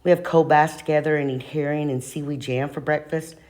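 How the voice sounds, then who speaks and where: A woman's voice: low voice, fairly even intonation, fairly quick, darkish tone, lightly acted. Polite young boy, read by a woman. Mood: polite, hesitant.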